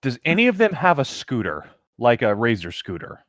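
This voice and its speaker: A man's voice: medium pitch, wildly swooping pitch, normal speed, a bit bright, no echo, clean studio sound. A man casually co-hosting a comedic fan fiction reading, bantering hesitantly and often amused by what he reads. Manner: hesitant, amused, prone to breaking into laughter. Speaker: co-host reading fan fiction aloud with commentary.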